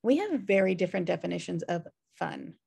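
A woman's voice: on the low side, animated, brisk, gravelly, dark tone, breathy edge, heavily acted. An American woman reading the part of a flippant, irresponsible twin sister in a sitcom script. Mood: wry. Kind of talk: sitcom script table read. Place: indoor room, on a video call. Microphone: video call microphone.

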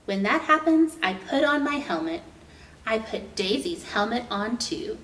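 A young woman reads a picture book to small children in an expressive storytime style. She takes her time with each phrase. The recording is roomy, picked up at a distance from the mic, with faint noise. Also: fairly high pitch, measured pace. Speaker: library storytime host reading aloud. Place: indoor room with painted mural.

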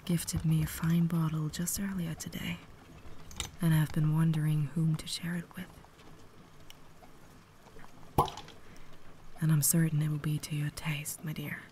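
Female actor as refined, caring vampire lady, ASMR roleplay. Low, soft whisper, gentle formal phrasing, noticeable ambience behind. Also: lightly acted. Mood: hospitable, soft. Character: cultured, caring vampire lady, secretly holding back. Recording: noticeable background ambience noise.

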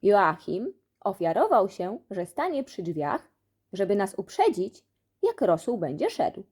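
A woman reading a children's storybook aloud as an expressive audiobook narrator. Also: medium pitch, a little slow, breathy edge. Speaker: audiobook reader.

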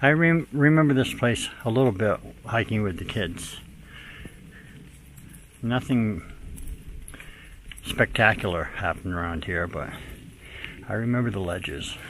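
An older man casually narrating his hike on a snowy forest trail, talking into a handheld camera with relaxed, rambling pauses. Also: fairly low pitch, a little hiss or hum, low-quality recording. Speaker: hiker vlogging a trail. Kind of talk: casual narration while hiking. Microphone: handheld camera at arm's length.

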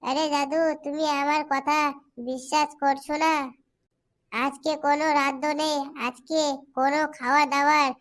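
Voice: sits high, little pitch movement, moderate pace, long pauses, fairly bright tone. A performer voicing a pushy, foul-mouthed young village lad in a comic cartoon, with crude bossy slang.

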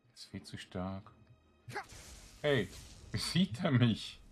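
A man with a fairly low voice commentates on a video game. He talks very slowly and casually, muttering to himself. His delivery is that of an exasperated, grumbling player. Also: very animated. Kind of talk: casual let's-play commentary.

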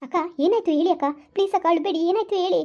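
A woman voicing a kind young woman who gently comforts a crying relative over the phone. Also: very high voice, very fast, dull audio. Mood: soothing. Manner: soothing, reassuring.

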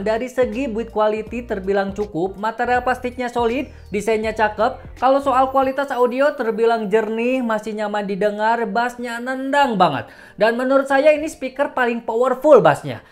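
A man's voice: very high voice, normal speed. A man in his thirties giving an enthusiastic, casual product review as a gadget reviewer. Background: background music.

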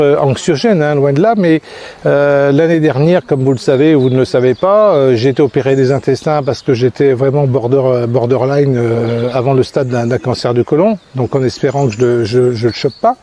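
A man's voice: a little high, dark tone. A man in his sixties, a crêpe-making trainer, talking casually to a phone held at arm's length while walking in a park, rambling with many fillers and restarts. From France. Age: 60s. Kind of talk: casual vlog monologue. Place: park outdoors. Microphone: phone at arm's length, selfie framing.

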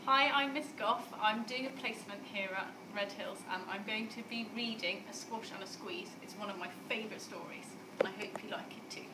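A woman's voice: mid-range voice, a little fast, few pauses. A young woman reading a picture book expressively to small children, like a teacher in a classroom. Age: young adult. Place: indoor school classroom. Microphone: camera or phone a few feet away. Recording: roomy, distant mic, background noise.

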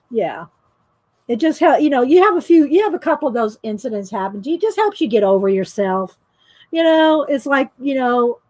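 Middle-aged woman, bright and higher voice, loud, giggly storyteller painting at home, dry sound. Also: no room sound. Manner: chatty, laughs often, talks loud. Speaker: painting vlogger. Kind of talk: casual storytelling while painting. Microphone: overhead camera mic, speaker off screen.

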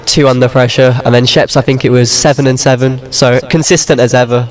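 A man's voice: medium pitch, flat delivery, fairly quick, crowd noise. A young man giving casual, informal live badminton commentary with some banter. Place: large indoor sports hall.

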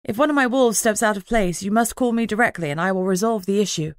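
A woman's voice: medium pitch, fast, dry recording, close to an everyday voice. An earnest young female alpha werewolf speaks up decisively in an audiobook, played by a woman narrator. The sound is close and dry. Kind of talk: audiobook character dialogue.

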